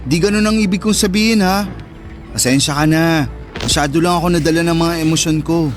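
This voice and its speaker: Casting: male actor for wounded older brother in a Filipino-accented radio drama, highish voice, emotive and earnest, soft music bed. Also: brisk, natural voice. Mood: apologetic, reassuring. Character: sensitive, hurt older brother, neglected by his mother. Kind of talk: Tagalog radio drama acting. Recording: music under the voice.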